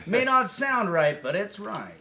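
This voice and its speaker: A man co-hosting an interview, reacting with jokes and quick comments. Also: high-pitched. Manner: jokey, animated reactions. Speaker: co-host. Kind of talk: casual interview chat.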